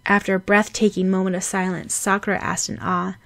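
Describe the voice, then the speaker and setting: A woman narrating fan fiction as an audiobook, with a dramatic, animated delivery. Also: medium pitch, brisk, gravelly, no room sound.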